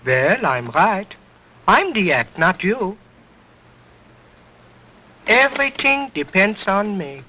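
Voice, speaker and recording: A man's voice: sits high, big pitch swings, slow pace, long pauses, bright, forceful tone, pitched above the performer's own voice, full character voice. A man voicing his cheeky ventriloquist dummy, a fresh-mouthed little boy who talks back in broken English. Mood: cocky. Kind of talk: vaudeville ventriloquist routine. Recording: dull lo-fi old recording.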